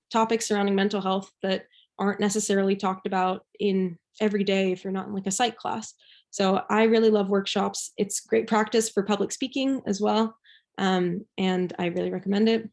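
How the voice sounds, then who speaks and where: A young woman from Belgium presents information in a casual, student-to-student way. Her voice sits at a medium pitch, and she sounds keen and informal as she explains things. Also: fairly even intonation, normal speed, a little mellow. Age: young adult. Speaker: student peer counselor presenting.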